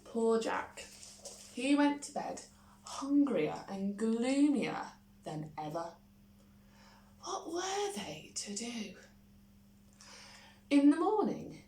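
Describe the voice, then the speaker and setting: A woman reading a children's picture book expressively, with dramatic pauses and little asides. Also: a little high, warm tone. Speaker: primary school story reader. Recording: roomy echo, faint background noise.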